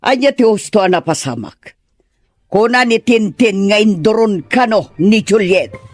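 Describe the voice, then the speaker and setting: A woman voices a stern older sister who is quick to judge, in an audio drama. Her northern Philippine accented delivery scolds and interrogates with big swings in intonation. The recording is dry. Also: mid-range voice, expressive, darkish tone, no echo, soft background music. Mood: scolding, suspicious.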